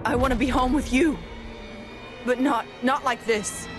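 A woman's voice: sits high, steady tone, a little slow. An actress voicing a guarded, strong-willed young female android in an anime game, firm but pleading. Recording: loud music under the voice.

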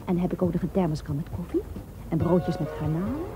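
A woman's voice: fairly low pitch, fast talker, over music, natural voice. An actress playing an affectionate, playful young woman in a Dutch radio drama.

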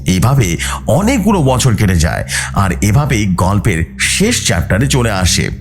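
Male horror narrator, lowish pitch, hugely varied intonation, suspenseful stretched emphasis. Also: very animated, measured pace, soft background music. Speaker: host of a horror story channel. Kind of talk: dramatic scripted horror storytelling.

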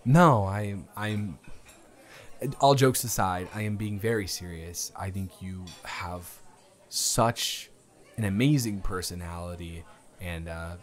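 A man's voice: sits low, takes it slowly, pitched below the performer's own voice. A young man playing a shy, smitten date in an improvised romantic audio roleplay, hesitant and stammering, both teasing and earnest. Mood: earnest, vulnerable.

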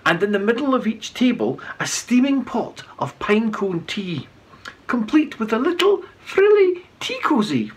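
A middle-aged man narrating a children's storybook aloud in an animated read-aloud style. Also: very high voice, husky. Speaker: children's author reading aloud.

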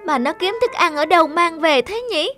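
High-voiced, kind young dog owner. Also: female voice, lively intonation, normal speed, sharp tone, soft background music, full character voice. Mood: amazed, tender. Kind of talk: animated moral tale dubbing.

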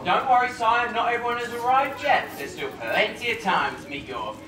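A man's voice: extremely high pitch, normal speed. A man playing a deferential, jokey royal aide in a live pantomime, with playful comic delivery. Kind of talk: live pantomime comedy acting. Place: theatre stage, recorded from afar. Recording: distant echoey theatre with audience noise.

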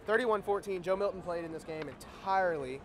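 A young man co-hosting a postgame sports recap, outdoors in a big stadium at night on a lapel mic, briskly leading the talk and asking questions. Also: high-pitched. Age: young adult. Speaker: co-host and sports editor. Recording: stadium crowd noise behind.

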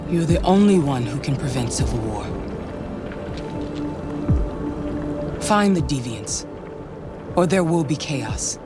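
A woman playing a stern, composed middle-aged female AI mentor in a video game, speaking in a measured, commanding way. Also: deep voice, expressive. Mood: stern, warning. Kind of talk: dramatic game cutscene acting. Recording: loud music behind the voice.

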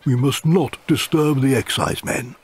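A man voicing a pompous, bossy, excitable pirate captain in a storybook. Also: mid-range voice, unhurried, loud music behind the voice, pitched above the performer's own voice, lightly acted.

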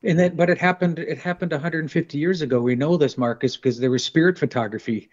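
A man's voice: a little high, fast talker. A middle-aged man talking in an earnest, rambling way, repeating words as he gets worked up, in a video call discussion. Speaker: panel guest. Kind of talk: casual discussion. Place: indoor studio room on a video call. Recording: dull lo-fi video call audio.

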